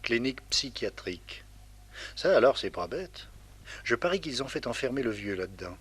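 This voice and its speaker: Husky streetwise sidekick detective. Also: male voice, mid-range voice, very animated, moderate pace, fairly bright tone. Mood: musing, knowing. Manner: colloquial, musing to himself. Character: streetwise male detective sidekick thinking aloud. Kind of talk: French radio serial acting, 1960s.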